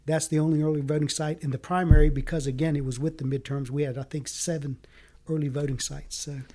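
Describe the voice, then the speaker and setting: A man raised in the American South whose first language was Greek, talking earnestly in a casual interview, with many halting fillers. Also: fairly high pitch, flat delivery, dark tone. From Fayetteville, North Carolina. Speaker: city council candidate.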